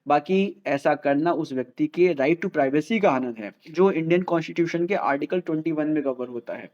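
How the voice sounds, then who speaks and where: A man's voice: a little high, normal speed, darkish tone, muffled recording. An Indian man presenting an explainer in a didactic way, repeating key points and mixing Hindi with English. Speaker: host of a legal explainer channel.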